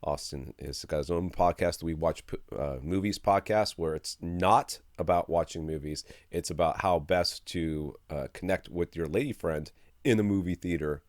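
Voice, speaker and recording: A man in his forties hosting a casual podcast from a small home studio, rambling and animated, feeling run down and sucking a cough drop. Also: deep voice, moderate pace, no echo. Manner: rambling, animated, easily amused. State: under the weather, with a cough drop in his mouth. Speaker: podcast host. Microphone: studio mic with headphones.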